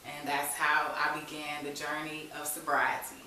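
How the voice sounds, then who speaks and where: A woman's voice: low-pitched, monotone, normal speed, sharp tone. A woman speaking as an interview guest, telling her own story candidly and informally in conversation. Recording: very echoey room, distant mic, faint hiss.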